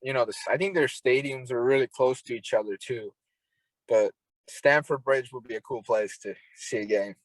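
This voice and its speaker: Young Finnish man, relaxed chat. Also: medium pitch, normal speed, no room sound, low-quality recording. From Finland. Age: young adult. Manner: laid-back, agreeable, many fillers like "you know". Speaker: podcast guest, hockey prospect. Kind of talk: casual interview chat. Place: home in Finland.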